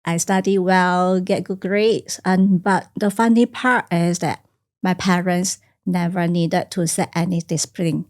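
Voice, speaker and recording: Woman from china, first language teochew, low-ish voice, talks softly and thoughtfully in a studio interview. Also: steady tone, unhurried. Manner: soft-spoken and reflective, simple phrasing with small grammar slips. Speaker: guest, career coach. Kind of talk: podcast interview, personal storytelling.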